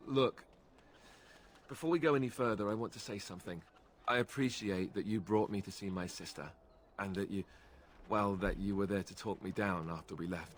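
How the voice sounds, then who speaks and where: A man's voice: on the low side, normal speed, a little hiss or hum, close to an everyday voice. An actor playing a dejected, vulnerable young male warrior in a video game, speaking in an earnest, hesitant way. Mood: earnest, shy.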